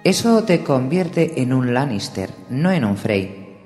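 A man's voice: medium pitch, sing-song, takes it slowly, darkish tone, faint music behind. A man voicing a firm, commanding young northern king who sets terms and demands oaths, with a roomy, echoing sound. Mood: stern. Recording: roomy echo with long reverb.

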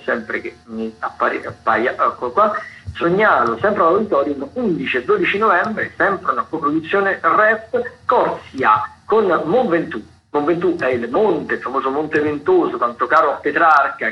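Halting, higher-voiced male radio host. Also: highs cut off. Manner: halting, rambling, self-correcting mid-sentence. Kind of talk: unscripted radio recommendations of theatre and dance events.

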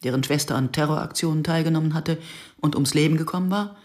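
A woman's voice: low voice, fast, darkish tone, lightly acted. An actress playing a wry, self-questioning Israeli woman writer who narrates a radio drama in a dry, reflective, intimate way. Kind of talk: radio drama acting with first-person narration.

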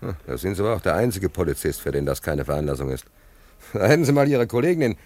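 A hungry homeless male drifter for a radio play. His voice is deep and swings widely in pitch. He rambles in everyday speech, repeating himself, defiant yet tender-hearted. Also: sing-song, fast talker, a little mellow, no echo. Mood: wry, provocative.

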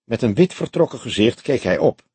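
A man's voice: medium pitch, animated, brisk, mellow, husky, no room sound. A man reading scripted crime fiction as an audiobook narrator.

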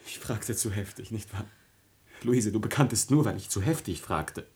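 A man's voice: on the low side, expressive, moderate pace, darkish tone, natural voice. An actor playing an anguished, jealous young nobleman in a declamatory classical tragedy for radio, moving between whispers and outcries. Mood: wounded, despairing.

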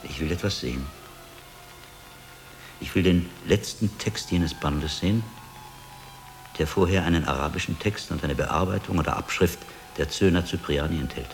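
An actor playing a shrewd, learned monk investigator in a German radio drama, speaking in a deliberate, insistent, articulate way. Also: male voice, deep voice, faint music behind. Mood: insistent, firm. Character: shrewd, learned middle-aged monk investigator, William of Baskerville. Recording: long hall reverb, background noise.

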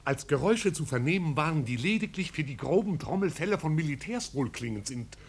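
Pompous high-voiced manservant. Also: male voice, expressive, a little fast, gravelly, breathy edge, heavily acted. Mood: indignant reporting. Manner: stilted, long-winded, ceremonious. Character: pompous, dutiful male servant of a marquis. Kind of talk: 1950s German radio drama acting. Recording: 1950s radio recording with hiss.

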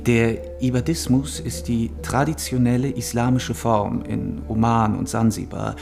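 A man's voice: medium pitch, fairly even intonation, a little slow, creaky, over music. An actor playing an uneasy male art gallery owner in a radio drama, explaining things in an informative way. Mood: explanatory. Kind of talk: radio drama acting, measured dialogue.

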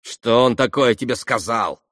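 A man's voice: on the low side, steady tone, unhurried, fairly bright tone, no echo, clean studio sound. A man reading a story as an irritable, spiteful man with a caustic, grumbling manner.

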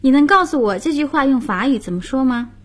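A woman's voice: high voice, lively intonation, a little fast. A woman hosting a radio language lesson, patiently explaining grammar and prompting her partner.

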